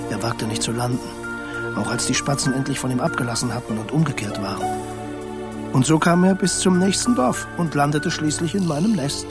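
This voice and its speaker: An actor playing a stork who tells a bird's adventure in an expressive storytelling style for a radio play. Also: male voice, lowish voice, lightly acted. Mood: grave. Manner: expressive, acts out the birds he quotes. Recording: music behind the voice. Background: music.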